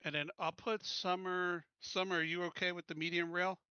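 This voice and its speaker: A man's voice: high voice, little pitch movement, moderate pace, sharp tone, clean tone, dry recording, muffled recording. A man chatting casually in an online tabletop game session, thinking aloud as he organizes things. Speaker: player assigning crew stations. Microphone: close computer mic, online voice call.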